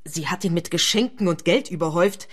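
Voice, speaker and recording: A woman's voice: fairly low pitch, brisk, fairly bright tone, slightly rough. An actress playing a candid, gossipy woman in a radio play, matter-of-fact in manner. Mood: frank, gossipy. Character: gossipy, candid best friend of a murdered woman.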